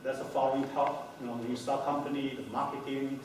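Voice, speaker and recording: A man's voice: mid-range voice, little pitch movement. Man speaking in an echoey room. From Taiwan. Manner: halting, many fillers and restarts. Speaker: company founder giving a talk. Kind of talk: conference talk to a small audience. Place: hotel meeting room, indoors. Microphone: far camera, no handheld mic. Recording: echoey room from far off, noisy.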